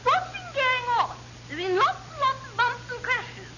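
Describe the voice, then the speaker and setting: A woman's voice: very high voice, big pitch swings, normal speed. A woman playing a fussy, tippling wife with a plaintive manner in a radio sitcom. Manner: plaintive, fluting. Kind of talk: classic British radio sitcom acting. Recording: muffled, very noisy vintage radio recording.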